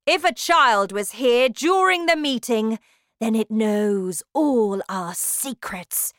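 A cruel, commanding witch ruler from a children's storybook, performed by a woman narrator. She draws out her orders with slow menace, in a bright, forceful voice that swings widely in pitch. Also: lively intonation, no room sound, studio quality. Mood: menacing, commanding. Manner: commanding, drawn-out, menacing delivery. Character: imperious, cruel Grand High Witch barking orders at underlings.

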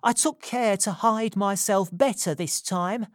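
A woman's voice: medium pitch, measured pace, no echo, studio quality, voice pushed up, natural voice. A woman voicing a frightened young woman who speaks in an urgent, pressing way in a storybook reading.

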